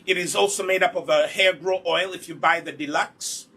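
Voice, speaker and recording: A man's voice: sits high, fairly even intonation, moderate pace, bright, forceful tone, husky. A man from Uganda, patiently demonstrating hair care in a live online lesson and answering his own questions as he goes. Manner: patient and instructive, asks rhetorical questions then answers them. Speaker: hair care instructor and product maker.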